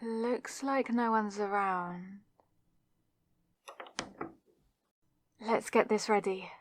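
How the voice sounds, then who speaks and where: A woman's voice: mid-range voice, steady tone, slow, no room sound, natural voice. A young woman playing a nervous, lovestruck student witch who chats earnestly to herself in an ASMR audio roleplay. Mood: focused, chatty. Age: young adult. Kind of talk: ASMR audio roleplay, scripted solo monologue.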